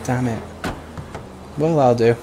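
A man's voice: medium pitch, slow pace, background noise. A man joking and laughing in casual voice chat while playing a roleplay game. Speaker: roleplay gamer.

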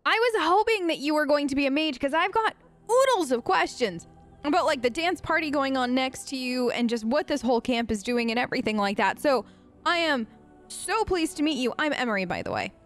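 Chatty, higher-voiced woman gamer role-playing. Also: lively intonation, brisk, a bit bright, faint music behind. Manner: chatty, rambling, informal, playful. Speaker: gaming video host, role-playing her character. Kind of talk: casual improvised role-play commentary.

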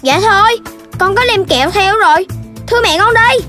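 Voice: extremely high pitch, lively intonation, moderate pace, bright, forceful tone, full character voice. An actor voicing a naive, mischievous little child in a children's cartoon, with a Southern Vietnamese accent and an exclamatory, playful delivery. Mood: eager. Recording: music behind the voice.

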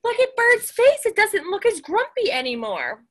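A woman's voice: extremely high pitch, sing-song, normal speed. A woman in her twenties reading a picture book aloud to young children, expressively and with questions for them.

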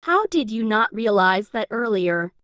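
A woman's voice: medium pitch, sing-song, measured pace, fairly bright tone, clear, resonant tone, no room sound, studio quality. A woman voicing a bossy, practical young girl in a game roleplay, reading short lines plainly. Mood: impatient. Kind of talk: scripted game roleplay dialogue.

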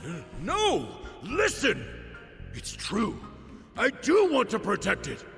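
A man voicing a brawny, boastful bandit leader in a video game, gravelly and theatrical. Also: high-pitched, wildly swooping pitch, slow, a bit bright, full character voice. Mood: blustering, defensive. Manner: theatrical, blustering. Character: brawny boastful male bandit leader in swim gear, blustering. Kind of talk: animated video game cutscene acting. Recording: echoey hall reverb over music.

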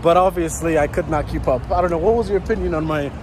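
A man's voice: a little high, quick pace. A young man in his twenties talking casually to a handheld camera while walking through a city, rambling with fillers and restarts. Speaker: travel vlogger. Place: busy city street outdoors. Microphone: handheld camera at arm's length. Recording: street noise and traffic. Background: city traffic.

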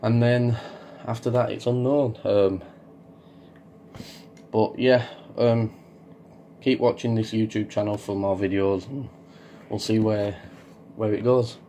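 A young man with a Yorkshire accent, croaky from being ill, giving a hesitant, rambling vlog update full of ums. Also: fairly low pitch, fairly even intonation, takes it slowly, pauses often, mellow, slight background noise. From Yorkshire, England. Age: young adult. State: croaky from illness. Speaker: farming YouTuber.

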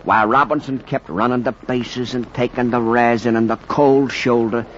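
An actor playing a folksy storyteller narrating a baseball ballad, rhythmically, in a 1940s radio play. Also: male voice, medium pitch, steady tone, moderate pace, lightly acted. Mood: jaunty, suspenseful. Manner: folksy, rhythmic, dropped endings. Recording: muffled, hissy 1940s radio recording.

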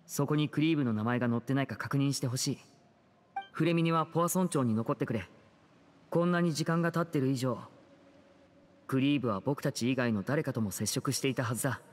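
A man playing a composed, kind young stage magician in an anime game, speaking in a measured, earnest and soft way. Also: medium pitch, in a large hall, a little hiss or hum, natural voice. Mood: resolute. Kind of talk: Japanese anime game dialogue acting.

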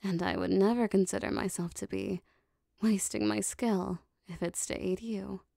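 A woman playing a powerful, possessive female demon devoted to her master, speaking formally and deliberately in an ASMR roleplay. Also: mid-range voice, big pitch swings, creaky, warm tone, no room sound, clean studio sound. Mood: possessive, insistent. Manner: formal, deliberate, intimate phrasing.

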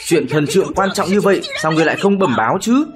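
A man's voice: on the high side, expressive, quick pace, background noise, close to an everyday voice. A man voicing a bossy, impatient, short-tempered goddess in a cartoon, with a scolding manner. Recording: loud music under the voice.

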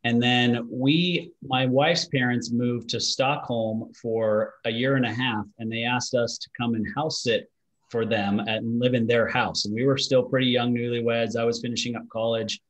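A man in his forties who grew up in Utah, hosting a business interview over a video call, friendly and conversational, with plenty of "you know" fillers. His voice sits at an ordinary mid pitch. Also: fairly even intonation, moderate pace, low-quality recording. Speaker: podcast host. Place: home office.

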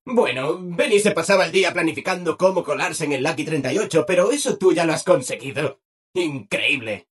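Super cheery servile robot dude from a video game, spain spanish accent, very animated, bit of husk in the voice. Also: male voice, fairly high pitch, breathy edge. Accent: Castilian Spanish from Spain. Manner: over-eager, chirpy, ingratiating. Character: relentlessly cheerful, servile, chatty male robot assistant. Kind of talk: video game character dialogue.

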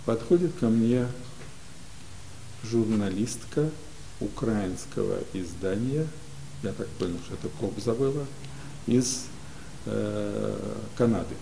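Older guy from ukraine telling stories, slow with lots of pauses, low gravelly voice, faint hiss. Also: dark tone, poor audio. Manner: unhurried, many pauses and hesitations. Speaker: guest, community leader. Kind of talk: relaxed storytelling in an interview. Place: library studio with bookshelves.

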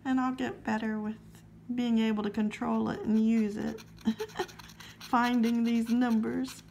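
Woman vlogger, high voice, unhurried, a bit hoarse, reads numbers aloud. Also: a little hiss or hum, rough recording. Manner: friendly, calmly reads out numbers. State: slightly scratchy throat. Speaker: lottery ticket scratching vlogger. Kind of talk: casual to-camera chat while scratching tickets.

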